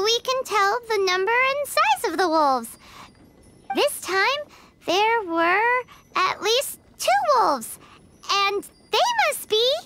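A tiny floating fairy girl with an extremely high, squeaky child's voice. She is cutesy and exclamatory, swinging up and down in pitch as a game sidekick. There is loud noise behind her in the game capture. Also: full character voice. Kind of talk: video game voice acting.

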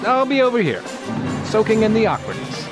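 A man's voice: high-pitched, big pitch swings, brisk, over loud music. A man playing a cocky young speedster superhero in a video game, joking and flippant. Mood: breezy.

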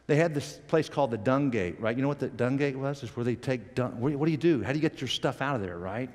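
Middle-aged pastor preaching, gets loud then drops low, headset mic, long hall echo. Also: male voice, fast. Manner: animated, gestures broadly, swings from loud emphatic peaks to quiet low asides. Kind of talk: sermon. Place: church stage, indoors.

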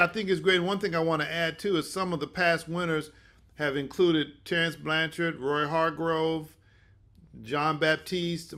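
An older man hosting an online session informally, speaking haltingly with many restarts. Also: on the high side, a bit bright. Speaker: host and mentor. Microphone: video call.

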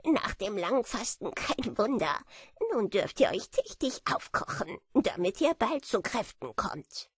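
A woman's voice: mid-range voice, very animated, unhurried, slightly rough, dry recording, clean studio sound, exaggerated voice. A woman reading a story voices a friendly male beetle who comforts his friend with chuckling reassurance. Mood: kindly. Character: kindly male beetle, a cheerful old friend.

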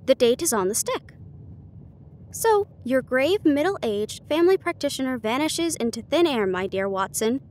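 A woman voicing a confident, teasing male detective in a storybook reading, shrewd and theatrical, with deliberate deductions. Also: high-pitched, very animated, darkish tone.

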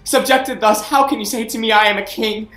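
An actor playing a proud young king in grief, reading Shakespeare verse from home over a video call, moving from hushed brooding to anguished outbursts. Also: high-pitched, fairly even intonation, fairly quick. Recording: roomy echo, faint music.

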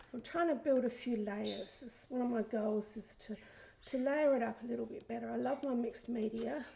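A woman with a croaky, hoarse voice, chatting casually through a craft tutorial and often dropping into a whisper as she works. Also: fairly high pitch, a little mellow, slight background noise. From Australia. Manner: chatty, often drops to a whisper while working. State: hoarse, recovering from illness. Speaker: craft vlogger. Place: craft desk indoors, fan running.

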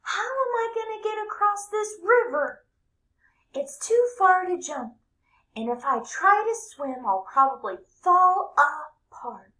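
A woman's voice: very high voice, lively intonation, slow, pushed and bright, voice pushed up, full character voice. A woman voicing a small, cocky runaway man made of cheese, taunting in a sing-song chant. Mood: worried. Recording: echoey room.

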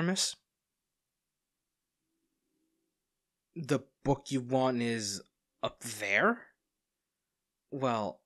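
A man's voice: medium pitch, a bit bright, close to an everyday voice. Man acting an exasperated bodyguard, reverberant. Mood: polite, reserved. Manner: polite to her, muttering dryly in asides. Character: stern, exasperated young male bodyguard secretly plotting against his charge. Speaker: audio roleplay voice actor. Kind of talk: scripted audio roleplay with inner monologue.

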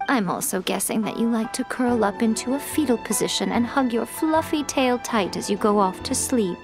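A sly fox shrine maiden in a video game. The voice is fairly high and very lilting, poised and teasing. Music plays clearly underneath. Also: female voice, expressive, moderate pace. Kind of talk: acted video game dialogue. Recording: music behind the voice.

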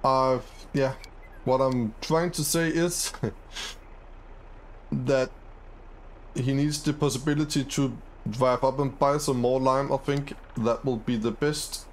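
Upbeat, slow-talking gamer man. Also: medium pitch, a little hiss or hum, poor audio. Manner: upbeat, easily excited, many fillers. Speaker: gaming channel host. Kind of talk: casual let's-play commentary.

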